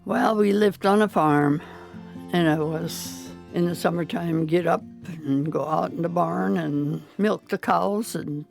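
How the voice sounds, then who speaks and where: A woman of ninety in an interview booth, telling farm stories in a plain, unhurried way. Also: on the low side, gravelly, darkish tone, faint music behind. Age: 90s. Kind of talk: recalling farm life in conversation. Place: recording booth.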